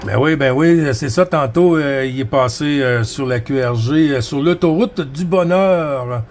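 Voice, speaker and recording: A man's voice: mid-range voice, a little slow, a little mellow, a little hiss or hum. A man with a Quebec French accent chatting casually as a CB radio operator, friendly and rambling. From Quebec, Canada. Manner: friendly, rambling, frequent Quebec filler words.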